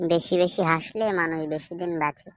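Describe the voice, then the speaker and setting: Woman voicing an inquisitive low-pitched cartoon friend. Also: steady tone, normal speed, no echo, clean studio sound, natural voice. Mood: questioning. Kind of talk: animated comedy skit dialogue.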